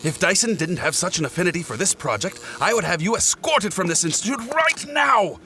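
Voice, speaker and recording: An actor playing an outraged, officious institute boss in an audio drama, scolding in a pompous manner. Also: male voice, high-pitched, sing-song, fairly quick, pushed and bright, slight background noise, exaggerated voice. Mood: furious.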